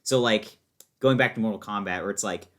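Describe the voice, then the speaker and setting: A man's voice: on the low side, brisk, fairly bright tone. A man in a casual conversation as a podcast guest, enthusiastic and opinionated, talking in slangy everyday language. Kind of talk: casual chat about video games.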